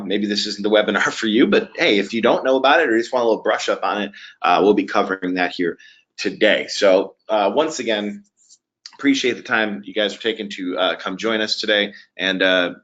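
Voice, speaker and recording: A man with a fairly low voice hosts a software webinar. He explains each step conversationally, with hesitant pauses along the way. The audio is a bit dull and lo-fi. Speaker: webinar presenter.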